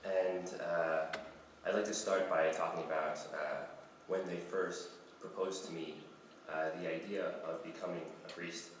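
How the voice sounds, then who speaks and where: A hoarse young man with a very deep voice starts his conference talk on a light, joking note. He is heard from far off in a very echoey hall, with a clear hum of the room around him. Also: steady tone, unhurried. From United States. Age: young adult. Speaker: conference speaker, young priest.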